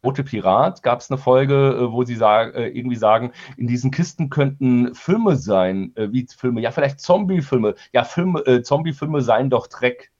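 A man with a medium-pitched voice talks as an interview guest. He explains his views frankly but haltingly, in a casual tone. The recording is slightly dull and lacks top end. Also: darkish tone. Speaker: audio drama producer being interviewed. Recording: slightly dull, band-limited audio.

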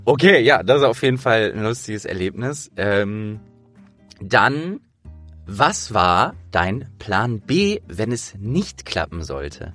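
A man's voice: on the low side, very animated, slow pace, a bit bright, soft background music. A man hosting a casual interview, talking in a rambling, storytelling way.

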